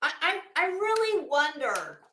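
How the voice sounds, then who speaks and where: A woman's voice: sits high, very animated, unhurried, bright, forceful tone, a little hiss or hum. A woman commentator reading aloud and riffing in a sarcastic, taunting, emphatic way, blunt and given to swearing. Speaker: online commentator reading a legal motion aloud. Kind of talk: dramatic reading with mocking asides. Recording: echoey room.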